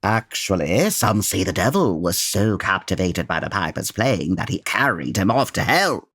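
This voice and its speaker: A man playing a learned, eager gentleman detective, formal and theatrical, in a comedy audio drama. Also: low voice, sing-song, moderate pace, husky, dry recording, studio quality, heavily acted. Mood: storytelling, eager. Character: learned, eager, gentlemanly Victorian detective, patient but exasperated.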